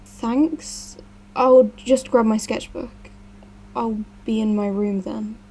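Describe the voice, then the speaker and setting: A woman's voice: on the high side, fairly even intonation, takes it slowly, warm tone, a little hiss or hum. A woman playing a hesitant, guarded young artist staying as a house guest.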